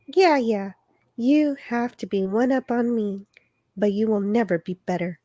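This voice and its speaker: A mocking young woman from a novel, played by a woman reader, self-assured and dismissive. Her voice is fairly high, with a dry, echo-free sound. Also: a little slow, mellow, natural voice. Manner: teasing, dismissive. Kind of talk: dramatic novel reading.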